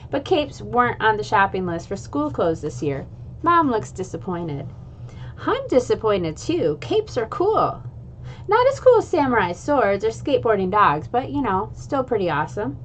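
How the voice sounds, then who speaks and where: A woman's voice: fairly high pitch, very animated, normal speed, a little hiss or hum, rough recording. Upbeat, casual woman reading aloud. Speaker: children's book author. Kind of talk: casual chat and read-aloud.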